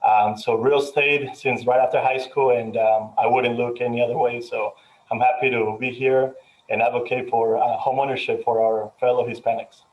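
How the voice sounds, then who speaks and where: Flat-voiced Colombian-born man, lo-fi. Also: medium pitch, normal speed, slight background noise. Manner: friendly, with uh fillers. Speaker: mortgage professional. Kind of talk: panel introduction. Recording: dull lo-fi sound.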